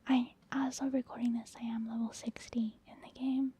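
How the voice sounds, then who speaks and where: A woman giving soft-spoken ASMR game commentary in a breathy, hushed whisper, gently explaining as she goes. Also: fairly high pitch, level tone. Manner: gentle, unhurried, softly explaining. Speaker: host of a gaming ASMR channel.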